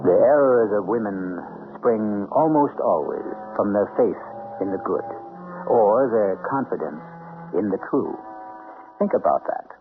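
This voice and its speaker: A man hosting and narrating an old radio drama as a grave, knowing storyteller with theatrical, deliberate phrasing. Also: on the low side, animated. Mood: grave, dramatic. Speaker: host and narrator. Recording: muffled, tinny old radio recording. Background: music.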